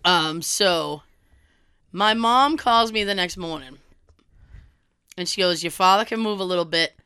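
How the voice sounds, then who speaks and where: A young woman, hoarse from a cold, telling a story in casual chat and acting out quoted dialogue with a swear now and then. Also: fairly low pitch, measured pace, bright, forceful tone, dry recording, studio quality.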